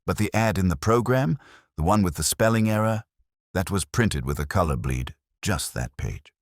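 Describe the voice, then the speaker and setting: Man as mystery-story sergeant: earnest, puzzled, brisk questioner, very low and husky. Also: animated, dry recording, studio quality. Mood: eager.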